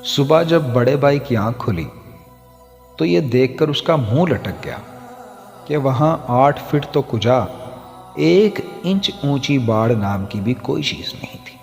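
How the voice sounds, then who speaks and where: A man narrates a moral tale at a slow, unhurried pace. His mid-pitched voice is formal and measured, like a scripted reading. It is soaked in long hall reverb. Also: expressive, vocal fry, darkish tone. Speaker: storyteller. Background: background music.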